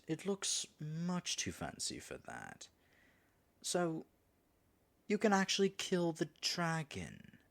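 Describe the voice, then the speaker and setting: A man's voice: mid-range voice, full character voice. A man acting in an audio roleplay as a nervous male dragon disguised as a human guide, stammering and muttering asides to himself. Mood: brisk, feigned confidence.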